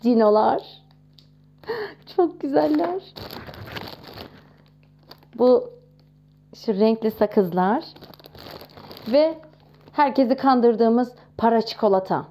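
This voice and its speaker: A woman in her thirties talking casually to camera in a chatty, expressive way, showing off nostalgic things. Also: on the high side, takes it slowly, pauses often, a little hiss or hum. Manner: chatty and expressive, with frequent exclamations. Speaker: vlogger.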